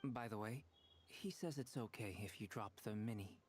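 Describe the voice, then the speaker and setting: A man playing a calm, courteous, scholarly alchemist with an analytical manner in a video game. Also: on the low side, moderate pace, natural voice. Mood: thoughtful. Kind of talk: video game character dialogue. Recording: faint noise from game stream.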